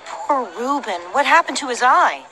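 A man voicing a gentle, worried, sympathetic young male friend in a video game, with an anxious manner. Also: very high voice, sing-song, normal speed, a little hiss or hum, full character voice, low-quality recording. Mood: concerned. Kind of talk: animated game dialogue.

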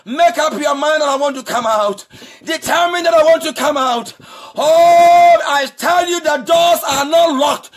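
A man preaching a sermon as pastor, shouting with a repetitive, sing-song cadence. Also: very high voice, measured pace. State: married. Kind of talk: impassioned preaching.

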